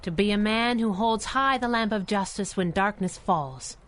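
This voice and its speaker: An actress playing a spunky, loyal young sidekick with a sassy, slangy, streetwise manner in a 1930s radio adventure style. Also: female voice, mid-range voice, normal speed, a bit bright, lightly acted. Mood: troubled, pleading.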